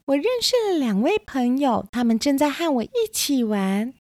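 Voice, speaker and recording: Woman putting on a high, girlish princess. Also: expressive, normal speed, darkish tone, dry recording, studio quality. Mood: cheerful. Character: sweet, trusting fifteen-year-old princess.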